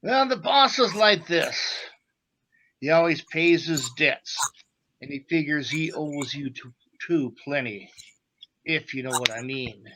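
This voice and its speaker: A man's voice: on the high side, animated, a little slow, pushed and bright, slight background noise, full character voice, rough recording. A man playing a tough, sly gangster henchman with insinuating menace in 1940s radio acting style. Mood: threatening. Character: Stuart, menacing gangster henchman delivering threats for his boss.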